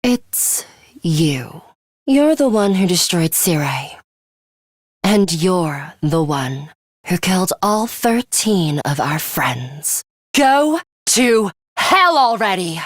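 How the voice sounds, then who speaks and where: A woman voicing a stern, composed young student fighter in a video game, speaking in a measured, serious way. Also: medium pitch, very animated, a bit bright, dry recording, clean studio sound, exaggerated voice. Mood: cold fury. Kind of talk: English video game character voice lines.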